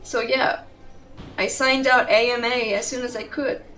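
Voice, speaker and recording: A woman's voice: on the high side, fairly even intonation, unhurried, bright, forceful tone, recorded from a distance, soft background music, rough recording. A woman playing a fiercely protective, tender tsundere girlfriend in an intimate, emotional roleplay audio, blunt in her affection. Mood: tender, vulnerable. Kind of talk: dramatic roleplay audio, intimate second-person acting.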